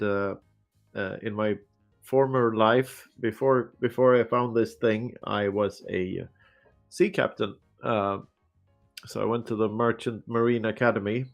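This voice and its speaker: A man with a cold, a guest author answering casually in a relaxed, joking way with many pauses. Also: fairly low pitch, clean tone. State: has a cold. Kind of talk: casual interview talk.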